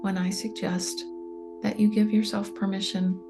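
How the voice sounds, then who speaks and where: A woman leading a guided meditation, speaking softly and slowly, often close to a whisper. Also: mid-range voice, flat delivery. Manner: soft, slow and soothing, often near a whisper. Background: soft meditation music with singing bowl tones.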